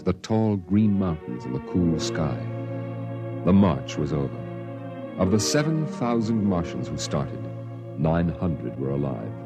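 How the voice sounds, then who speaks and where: Somber storyteller, low-pitched, grave and measured, literary vintage radio narration over music. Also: male voice. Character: somber man recalling a Martian exodus. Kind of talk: 1950s radio drama narration.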